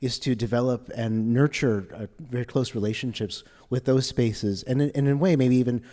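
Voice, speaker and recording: A man speaking as a museum panelist, earnest and measured. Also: mid-range voice, steady tone, warm tone. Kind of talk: panel discussion. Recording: echoey hall.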